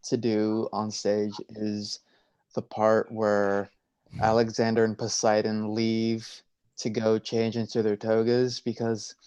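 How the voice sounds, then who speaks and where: A man's voice: fairly low pitch, completely flat delivery, slow pace, darkish tone, clear, resonant tone, no echo. A man speaking casually as a cast member in a Q&A.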